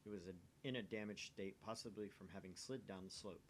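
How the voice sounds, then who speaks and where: A man's voice: on the low side, fairly quick, slight background noise. A man reading a visual novel's narration and dialogue aloud in a dramatic reading. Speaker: amateur voice reader of a visual novel.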